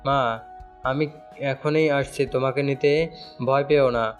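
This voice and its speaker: A man's voice: mid-range voice, fairly even intonation, takes it slowly, lightly acted. A man playing a protective middle-aged father, loving but stern, in an audio story drama with music behind him. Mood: anxious, reassuring.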